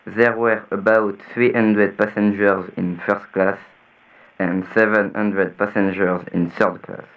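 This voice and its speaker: A man's voice: on the low side, flat delivery, slow pace. A man playing a matter-of-fact historian. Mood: factual. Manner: recites short factual answers. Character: male Titanic historian. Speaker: guest. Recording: dull lo-fi sound.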